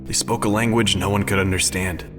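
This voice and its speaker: A man's voice: low voice, fairly even intonation, a little fast, music in the background, natural voice. A man playing an unflappable, bookish advisor who explains odd theories matter-of-factly in a sci-fi audio drama. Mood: earnest, storytelling.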